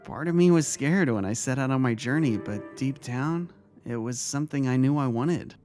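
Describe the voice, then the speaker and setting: A man acting a kindly, caring male vice-principal and mentor in a dramatic story reading, speaking gently and earnestly. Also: mid-range voice, moderate pace, natural voice. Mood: reflective, confiding. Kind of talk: dramatic reading of fan fiction. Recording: music under the voice.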